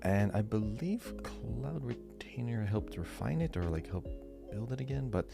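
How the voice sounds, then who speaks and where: A man hosting a casual quiz podcast, reading out questions deliberately and repeating them. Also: lowish voice, animated, vocal fry, over music.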